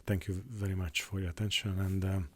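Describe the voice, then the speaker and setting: A man with a fairly low voice, a native Hungarian speaker talking without a script. He speaks haltingly, stumbling over restarts and filling pauses as he works his way through each thought. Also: level tone, slightly rough. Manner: halting, many restarts and fillers. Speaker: YouTuber. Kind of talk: unscripted monologue on faith.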